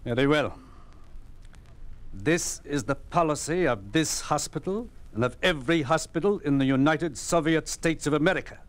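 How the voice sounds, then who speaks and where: Man acting a cold, high-pitched communist party doctor. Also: lightly acted. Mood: cold, authoritarian. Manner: pompous, lecturing, measured. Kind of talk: 1950s radio drama acting. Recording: vintage 1950s radio broadcast.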